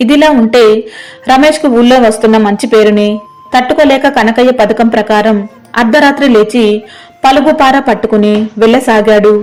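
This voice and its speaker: A woman's voice: on the high side, poor audio. A woman reading a scripted story as its narrator. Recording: music behind the voice.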